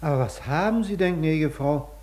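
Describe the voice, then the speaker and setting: An actor in a German radio play as a gallant married gentleman, courteous, fussing and concerned. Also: fairly high pitch, animated, brisk, lightly acted.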